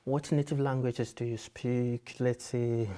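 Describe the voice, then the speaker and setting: A man's voice: mid-range voice, flat delivery, a little slow, mellow, a little hiss or hum. A man in his thirties whose first language is Yoruba, guiding applicants through a form in a patient, explanatory way and rephrasing as he goes. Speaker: university admissions guide. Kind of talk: screen-share tutorial walkthrough. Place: office with computers, indoors.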